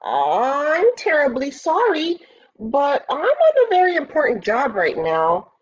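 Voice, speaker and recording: Huge cowardly storybook boar woman reader: pompous excuses, very animated. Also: high-pitched, takes it slowly, muffled recording, slight background noise, voice pushed up, exaggerated voice. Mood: self-important. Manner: blustering, apologetic. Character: huge boar, self-important but secretly cowardly. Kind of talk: children's storybook character reading.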